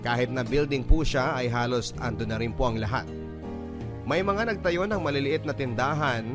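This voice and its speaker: A man narrating a listener's letter in a calm, even storytelling manner for a radio drama. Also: mid-range voice. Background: clear background music.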